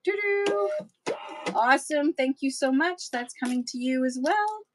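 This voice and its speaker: A woman selling books in a chatty live-stream auction, her voice getting hoarse, with playful sing-song asides. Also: sits high, lively intonation, measured pace, background noise.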